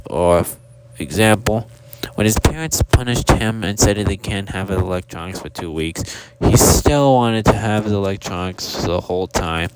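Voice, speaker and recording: Low male storybook hippo, acted by a man, forgetful, kindly, formal, digressive, heavy noise on the recording. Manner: forgetful, digressive, formal, losing his thread. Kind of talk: rambling storytelling monologue. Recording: very noisy amateur recording with clicks.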